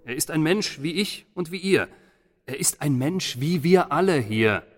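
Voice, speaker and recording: A commanding herald declaiming verse in a radio play. His voice is high and swings widely in pitch, ringing through a long hall reverb. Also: lively intonation, measured pace, over loud music. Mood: earnest, insistent. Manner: emphatic, declamatory. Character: commanding male herald hushing a city crowd.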